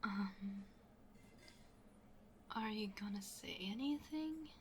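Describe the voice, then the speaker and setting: A woman playing a smitten young best friend in an intimate ASMR roleplay, speaking softly and hesitantly, often half-whispering. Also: mid-range voice, slow, a little hiss or hum, close to an everyday voice. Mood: shy, tender. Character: smitten young best friend, shyly confessing, playfully teasing.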